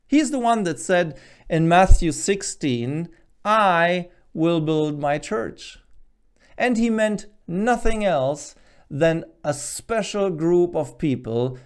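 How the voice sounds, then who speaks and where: A man's voice: on the high side, gravelly, darkish tone. A middle-aged man explaining to camera like a teacher, in an earnest, emphatic manner, speaking at a desk microphone. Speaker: presenter, Bible teacher. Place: small furnished studio room at a desk, indoors. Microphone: desk microphone close in front.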